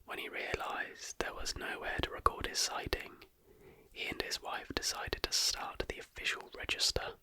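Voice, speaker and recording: A host whispering a scripted ASMR narration softly from start to finish. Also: moderate pace. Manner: soft whispering throughout.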